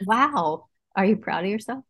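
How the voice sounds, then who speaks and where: A woman's voice: mid-range voice, expressive, normal speed, fairly bright tone. A woman host asking interview questions, reacting with short enthusiastic remarks.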